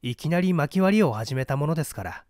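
An unruffled man in his twenties played by a male reader testifies in a mystery audiobook. He is casual and matter-of-fact, with lively intonation in a dry recording. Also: fairly high pitch, a little fast, no room sound, lightly acted.

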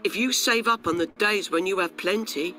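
A man's voice: sits high, moderate pace, pushed and bright, soft background music, pitched above the performer's own voice. Guy doing a busy grumbly worker ant in a kids story, curt and practical, no nonsense. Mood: unmoved, blunt. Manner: curt, blunt, dropped-g working talk. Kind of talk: children's storybook character voice.

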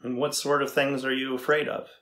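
A middle-aged man playing a thoughtful AI researcher in a dramatized interview, deliberate and conversational as he probes. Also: medium pitch, level tone, fairly bright tone, lightly acted. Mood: probing, thoughtful. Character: thoughtful male AI researcher questioning a machine. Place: indoor room with backdrop, seated.

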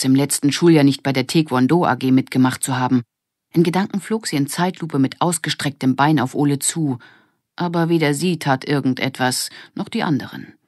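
A woman's voice: sits low, a little mellow, no echo, studio quality. A woman narrating a children's audiobook in a scripted storytelling style.

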